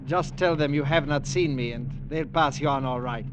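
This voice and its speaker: An actor playing a grim, sneering foreign spy on the run, who speaks in a cool, clipped, formal way in an old radio drama. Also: male voice, on the high side, normal speed, background noise, close to an everyday voice. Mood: cool, guarded. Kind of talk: 1950s radio drama acting.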